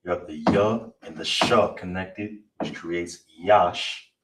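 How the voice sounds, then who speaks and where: A man teaching a live-streamed online language class in a relaxed way, often checking in with "you understand?" and "all right?". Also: deep voice, takes it slowly. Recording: roomy, distant, faint hiss.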